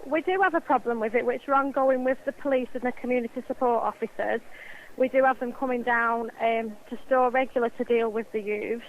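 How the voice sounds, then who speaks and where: A woman's voice: a little high, fairly even intonation, a little fast. A woman with an English accent handling a complaint on the phone as store staff, explaining insistently and repeating her points. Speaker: supermarket staff taking a complaint call. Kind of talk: customer service phone conversation. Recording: muffled lo-fi phone line.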